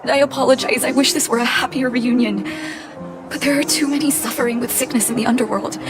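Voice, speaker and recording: An actress playing an earnest, gentle and caring woman doctor in a video game. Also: high-pitched, fairly even intonation, brisk, natural voice. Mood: apologetic, earnest. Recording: music behind the voice. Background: music.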